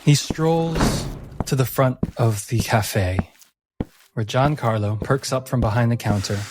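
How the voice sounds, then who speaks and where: A man narrating a tabletop game as game master in a measured, deliberate, dramatic storytelling manner. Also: mid-range voice, background noise.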